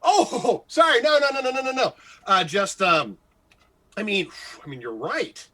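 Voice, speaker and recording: A man playing an excitable, jealous, insecure morning radio host in a radio comedy-drama, stammering, gushing and evasive. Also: high voice, unhurried, heavily acted. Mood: flustered, jealous.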